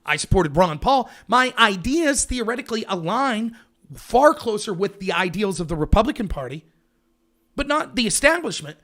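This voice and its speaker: A man's voice: high voice, sing-song, normal speed, a bit bright. A man talking politics on a livestream in an emphatic, sarcastic way, firing off rhetorical questions.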